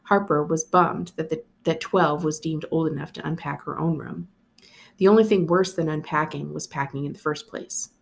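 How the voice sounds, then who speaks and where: An American woman, a school librarian, introducing a book and reading it aloud in an expressive storybook style. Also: deep voice, moderate pace, creaky.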